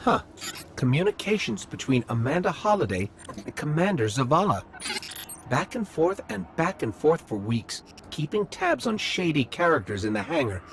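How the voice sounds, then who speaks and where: A man's voice: fairly high pitch, very animated, moderate pace, a little hiss or hum. A man voicing a small floating robot companion in a video game, curious and dry, musing aloud with wry asides. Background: game music.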